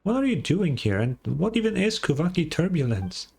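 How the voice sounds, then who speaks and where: A woman voicing a small, excitable, blunt fairy companion girl in a video game, squeaky and childlike, with music behind her. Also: moderate pace, creaky, breathy edge, exaggerated voice. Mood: flustered, curious. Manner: brash, exclamatory, speaks of herself in third person.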